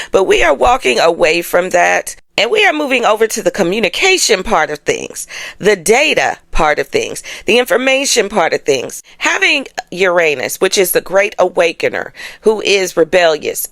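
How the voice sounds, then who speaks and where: A woman's voice: medium pitch, a bit bright, no room sound. A woman hosting an astrology show, chatting casually to her audience in a sassy, playful, slangy way. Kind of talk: casual chatty explainer to camera.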